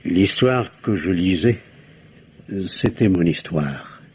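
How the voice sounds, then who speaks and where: An actor playing a doctor questioned by the police, who speaks in a hesitant, guarded way, in the manner of a 1950s radio drama. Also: male voice, low-pitched, lively intonation, slow pace, darkish tone, slight background noise. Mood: confessing. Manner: hesitant, guarded, then confessional. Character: nervous doctor questioned as a suspect, man. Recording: dull old radio recording.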